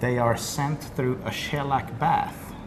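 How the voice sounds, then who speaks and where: A man's voice: medium pitch, steady tone, slow pace. A man from Sweden with a Swedish accent, a company representative explaining a product in detail during an interview. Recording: noisy room ambience.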